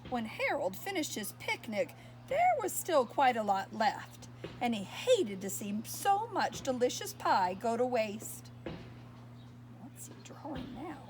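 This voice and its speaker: A woman reading a picture book aloud to young children, expressive and pausing to ask her listeners questions. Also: fairly high pitch, a little hiss or hum. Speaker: storyteller.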